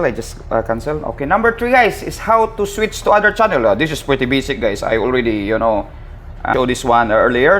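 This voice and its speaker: A man with a Filipino accent giving an informal hands-on equipment tutorial, speaking haltingly and repeating himself as he addresses viewers casually. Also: medium pitch. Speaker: seaman presenting a tutorial. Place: ship's bridge. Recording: clear ambient background noise.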